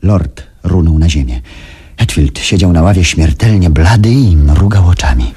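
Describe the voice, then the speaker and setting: Deep-voiced, uneasy radio play narrator. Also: male voice, animated, moderate pace, dark tone, slightly rough, full character voice. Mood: uneasy recounting. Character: uneasy male narrator, a thoughtful witness in danger. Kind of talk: scripted radio drama narration. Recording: noisy old radio drama recording.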